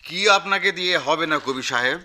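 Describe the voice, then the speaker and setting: A man's voice: on the high side, quick pace, sharp tone, slight background noise. A man playing a shrewd, self-important newspaper editor in a radio play, pompous and domineering. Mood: chiding. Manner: chiding, pompous. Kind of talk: 1990s radio play acting.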